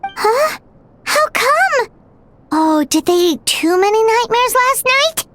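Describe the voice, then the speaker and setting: A woman voicing a tiny, excitable, childlike fairy companion with a squeaky voice in an anime-style video game. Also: takes it slowly, very noisy, heavily acted. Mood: alarmed. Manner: excitable, refers to self in third person.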